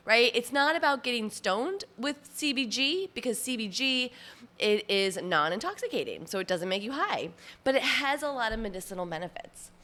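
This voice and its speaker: Bright-voiced, chatty, slightly nasal woman. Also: medium pitch. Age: 40s. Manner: chatty, laughs often, many fillers. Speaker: podcast host, personal trainer. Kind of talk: casual solo podcast talk.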